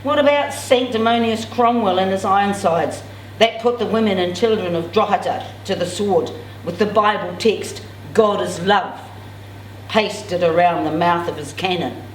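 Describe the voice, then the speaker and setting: Woman, 60s, expressive literary read-aloud with theatrical dialogue. Medium pitch, roomy and distant from the mic. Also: moderate pace. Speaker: amateur reader at a literary event. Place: indoors, in a function room. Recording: roomy, distant mic, clear background noise.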